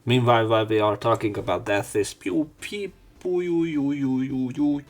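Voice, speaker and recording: A man's voice: mid-range voice, steady tone, moderate pace, faint music behind. A man reading a visual novel aloud as a let's play host, with his own asides.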